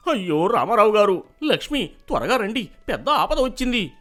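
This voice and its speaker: Casting: male actor as anxious village elder, urgent, high and very animated, for a moral-story cartoon. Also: moderate pace, soft background music. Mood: panicked. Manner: urgent, panicky pleading.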